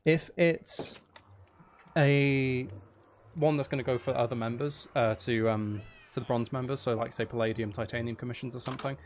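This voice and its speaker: A man's voice: mid-range voice, steady tone, moderate pace, slight background noise. A man hosting a live stream, chatting casually with viewers in a rambling, chatty way.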